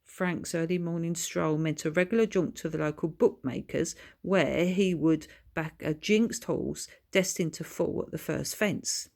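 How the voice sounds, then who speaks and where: A woman's voice: low voice, a little slow, vocal fry, few pauses, darkish tone. A woman narrating a children's story expressively, as an author reading from her own book.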